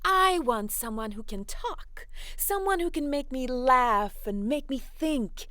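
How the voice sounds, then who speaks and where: A woman's voice: sits high, expressive, slow pace, bright, forceful tone, no echo, clean studio sound, voice pushed up, exaggerated voice. A woman voicing a clever, choosy young fairy-tale princess, emphatic and dramatic. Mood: decided, yearning. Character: clever, choosy young princess who knows her mind.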